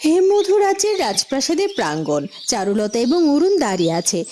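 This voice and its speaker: High-pitched woman narrating a cartoon tale. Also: sing-song, brisk, mellow, a little hiss or hum. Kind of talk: scripted storybook narration for a cartoon.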